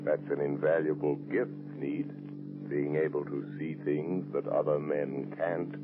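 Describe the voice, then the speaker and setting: A man playing an invisible, taunting avenger in a vintage radio thriller, menacing his victim with deliberate words on a scratchy old recording. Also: rumbling low, level tone, phone-quality sound, over music. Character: invisible menacing male avenger haunting a driver. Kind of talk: 1930s radio thriller acting.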